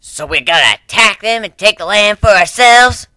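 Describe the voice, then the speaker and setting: A man voicing a tough, gruff old female cat who leads a tribe in a dramatic story reading, brash and bossy. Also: high-pitched, animated, moderate pace, bright, forceful tone, no room sound, pitched above the performer's own voice, heavily acted. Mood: incredulous.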